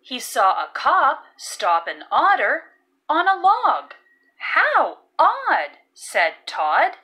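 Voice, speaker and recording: Slow, very animated woman reading to children. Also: high voice. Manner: sing-song, deliberate, emphatic on key words. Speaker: children's read-aloud narrator. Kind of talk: expressive picture-book reading with phonics teaching.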